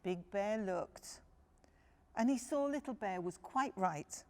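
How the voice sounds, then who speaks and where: Slow, creaky-voiced woman storyteller. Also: medium pitch, lively intonation. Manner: simple phrasing, addressing young listeners directly. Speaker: children's storyteller. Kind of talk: picture-book storytelling to young children.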